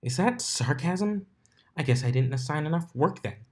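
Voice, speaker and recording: Man voicing a fretful bookish female unicorn, pitched up. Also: animated, normal speed, close to an everyday voice. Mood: miffed. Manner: fretful, self-debating, dryly exasperated. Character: bookish, bossy young female unicorn pony magician.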